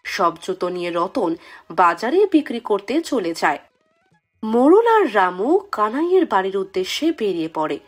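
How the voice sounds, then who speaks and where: Husky, expressive woman narrating a fairy tale. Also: mid-range voice, normal speed, soft background music. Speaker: storyteller.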